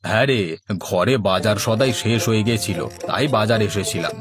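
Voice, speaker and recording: A man's voice: lowish voice, normal speed, music in the background, close to an everyday voice. A man voicing an easygoing, henpecked village husband in a cartoon, speaking in a placating, weary way. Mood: friendly. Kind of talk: Bangla cartoon dialogue.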